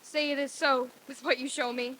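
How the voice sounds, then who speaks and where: A woman's voice: sits high, little pitch movement, moderate pace, bright, forceful tone. A woman playing a frightened, pleading old miser in an old-time radio play reading on stage. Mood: desperate pleading. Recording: hissy, noisy live stage recording.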